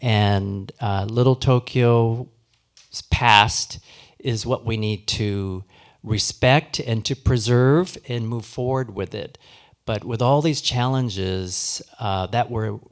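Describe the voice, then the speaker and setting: A middle-aged or older man giving semi-formal closing remarks at a live event in an earnest, halting way. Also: on the low side. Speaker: historical society president giving closing remarks. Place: live awards ceremony before an audience.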